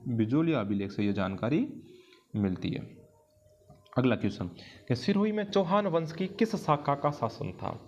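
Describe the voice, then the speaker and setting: A man's voice: medium pitch, very animated, moderate pace, pauses often. A man with an Indian accent teaching an exam-prep lesson in question-and-answer form, didactically repeating key phrases for emphasis. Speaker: history teacher.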